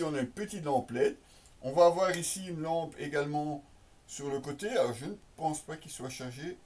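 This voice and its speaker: Distant-miked man, mellow voice. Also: medium pitch. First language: French. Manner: hesitant, piecemeal phrasing with frequent drawn-out euhs. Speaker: motorcycle gear reviewer. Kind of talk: unboxing presentation, casual unscripted commentary. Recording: slightly distant microphone.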